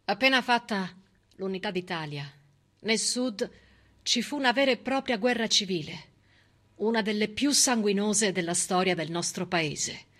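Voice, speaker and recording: A woman's voice: mid-range voice, moderate pace, a bit bright. A middle-aged woman performing a theatrical civic monologue, telling history in an emphatic, dramatic and ironic manner. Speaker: stage actress and storyteller.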